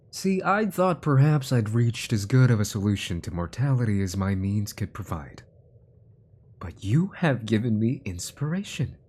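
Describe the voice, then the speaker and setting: Someone acting a creepy centuries old evil sorcerer scientist, husky n mellow, smug, drifts into whispers, fantasy audio roleplay. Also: mid-range voice. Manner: smug, theatrical, menacing, slipping into whispers. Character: menacing centuries-old villain, twisted experimenting sorcerer-scientist.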